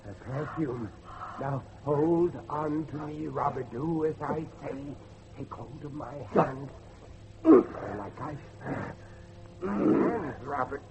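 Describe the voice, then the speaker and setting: A high-pitched, desperate preaching father. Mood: urgent, coaxing. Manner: preacherly, urgent, repeating himself. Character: desperate, pious father pleading with his possessed son. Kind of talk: dramatic horror audio drama acting. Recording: dull lo-fi audio, loud background noise.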